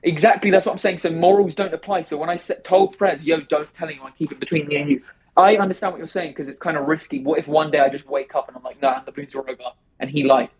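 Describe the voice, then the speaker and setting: A man talking fast and rambling in a casual remote interview, with many restarts. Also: fairly high pitch, fairly even intonation, crowd noise, rough recording. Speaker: interview guest.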